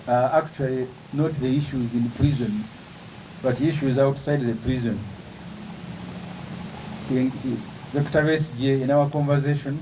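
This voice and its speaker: A man, an opposition politician giving an emphatic, measured press statement with pauses between phrases. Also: medium pitch, mellow, a little hiss or hum, low-quality recording.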